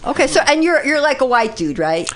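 A woman's voice: high-pitched, sing-song, fairly quick, a bit bright, slight background noise. A woman in her sixties hosting a radio talk show, asking direct, easygoing questions. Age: 60s. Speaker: host. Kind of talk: casual radio interview.

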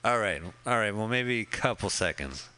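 A man's voice: on the low side, expressive, normal speed, sharp tone. A man co-hosting a podcast, chatting casually and jokingly about a film as it plays, swearing often and rambling. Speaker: podcast co-host. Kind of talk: casual movie commentary chat.

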